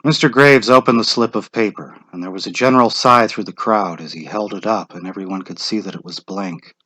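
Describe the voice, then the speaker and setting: A man's voice: fairly low pitch, brisk, sharp tone, husky, no echo. A man narrating a short story as a scripted dramatic reading.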